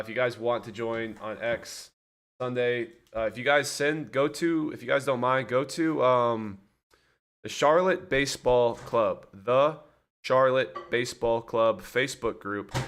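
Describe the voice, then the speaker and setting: A man's voice: mid-range voice, fairly bright tone. A man hosting a casual livestream, chatting loosely and talking straight to his viewers. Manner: chatty, rambling, addresses viewers directly. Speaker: livestream host.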